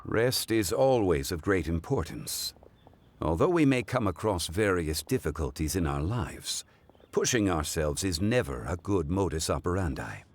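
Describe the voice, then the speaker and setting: An actor voicing a composed, erudite gentleman in a video game, speaking in a formal, measured and thoughtful manner. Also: low-pitched, expressive. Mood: sage, approving. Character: composed, erudite, gentlemanly man of old-fashioned wisdom.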